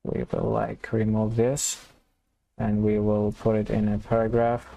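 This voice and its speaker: A man teaching a coding lesson, thinking aloud and restarting phrases as he types, his voice slightly hoarse. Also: fairly low pitch, completely flat delivery, unhurried, dark tone, dry recording, rough recording. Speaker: programming tutor. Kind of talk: casual screen-recorded coding tutorial.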